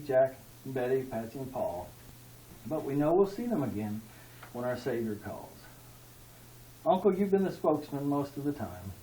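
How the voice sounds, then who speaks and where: A man's voice: medium pitch, mellow. A man earnestly reading a rhyming tribute poem aloud at a party, as an amateur. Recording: echoey room, distant mic.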